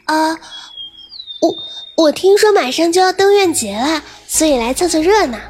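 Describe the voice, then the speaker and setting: A woman's voice: very high-pitched, expressive, normal speed, soft background music. A woman playing an innocent, playful young carp-spirit maiden in an audio drama, with an inquisitive manner. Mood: hesitant. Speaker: voice actress.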